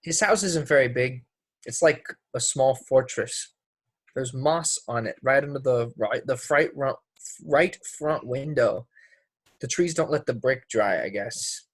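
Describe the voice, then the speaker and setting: A man's voice: mid-range voice, unhurried, breathy edge, dry recording. A troubled teenage boy played by a man, defensive and guarded, talking in plain folksy phrases. It is stage play dialogue, recorded close and very dry. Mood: hurt, brooding. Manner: guarded, folksy ungrammatical talk. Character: troubled, defensive teenage boy, small-town drama student. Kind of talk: play readthrough, dramatic dialogue.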